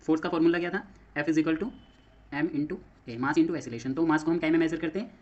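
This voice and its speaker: Male Indian lecturer, higher-than-average voice, explanatory and repetitive, dull lo-fi audio. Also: steady tone, brisk. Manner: explanatory, repeats phrases and asks himself questions. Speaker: physics teacher for exam coaching. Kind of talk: classroom lecture mixing Hindi and English terms.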